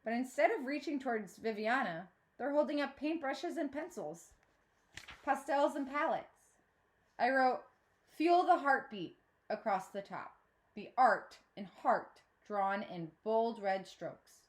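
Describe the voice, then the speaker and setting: A woman's voice: fairly high pitch, unhurried. A woman reading a children's novel aloud as a teacher would, narrating in the first person and acting out the dialogue. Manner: expressive, acts out dialogue.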